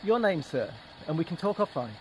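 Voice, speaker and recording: A man's voice: fairly high pitch, very animated, a little fast, a little mellow, slight background noise, low-quality recording. A man in his forties, an on-duty police community support officer, stays overly polite and keeps stating the time and date for the record. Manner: overly polite, repeats times and dates for the record. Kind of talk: on-duty street confrontation. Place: residential street outdoors at dusk.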